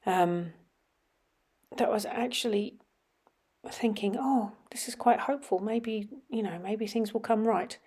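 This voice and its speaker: A middle-aged woman with a slightly sniffly, runny nose, casually commenting over videos of her children riding, with frequent ums and some quiet murmured asides. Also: medium pitch, a little slow, breathy edge. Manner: chatty, many ums, drops to quiet murmurs while watching. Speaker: vlogger.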